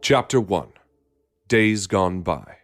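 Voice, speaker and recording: Solemn dark-fiction narrator played by a man, very low voice, unhurried and portentous delivery. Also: animated, slight background noise. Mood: grave, brooding. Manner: slow, grave, portentous. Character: solemn, brooding male storyteller of dark fiction. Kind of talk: dramatic scripted prologue narration.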